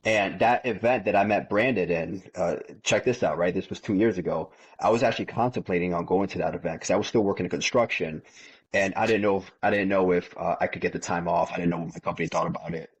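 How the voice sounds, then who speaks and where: A man's voice: low-pitched, quick pace, husky, lo-fi sound. A man in his thirties, a podcast guest, telling his story in casual chat and often repeating words. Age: 30s. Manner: repeats words often, tells stories plainly.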